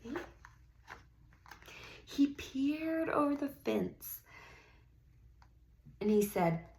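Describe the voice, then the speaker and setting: A woman's voice: sits high, very slow, vocal fry. A woman teacher reading a picture book aloud to young children, expressively and with encouraging questions and praise. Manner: expressive storybook delivery, drawing out key words. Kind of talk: interactive classroom read-aloud with questions and praise.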